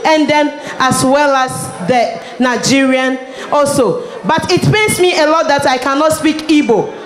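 A woman's voice: high-pitched, unhurried. A woman with a Ghanaian accent, a native Twi speaker, hosting a party as master of ceremonies and mixing languages in an emphatic, exclamatory way. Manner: exclamatory, emphatic, repeats phrases. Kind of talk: live event hosting, mixing local language and English. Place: party venue, amplified to guests. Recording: echoey PA at a crowded event.

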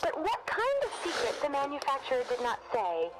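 A gushing toy reviewer played by a woman sells in a high, animated voice. She has an oddly worded, salesy parody-advert manner, with loud music behind her. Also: fairly quick, poor audio. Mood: chatty. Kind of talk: scripted product review.

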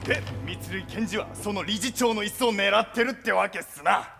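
A man on stage playing an eager, blunt, loyal but dim police detective with a rough junior's way of talking. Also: high voice, moderate pace, pushed and bright, faint music behind, heavily acted. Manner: rough, deferential junior-style talk. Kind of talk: comic stage play acting. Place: theater stage, live performance.

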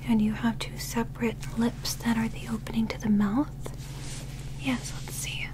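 A woman's voice: a little high, little pitch movement, lightly acted, poor audio. Woman as whispering female alien doctor. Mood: calm, clinical. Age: 20s. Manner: slow, careful, clinical, reassuring. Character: calm female alien doctor examining a human. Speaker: ASMR roleplay performer. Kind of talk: soft whispered ASMR medical roleplay.

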